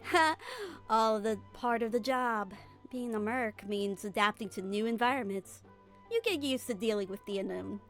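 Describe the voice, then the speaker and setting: A woman acts out an easygoing female desert mercenary for a game fan dub, with a fairly high voice. She is self-assured and informal, talking people round in a breezy way. Light music plays behind her. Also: lightly acted. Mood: breezy, confident.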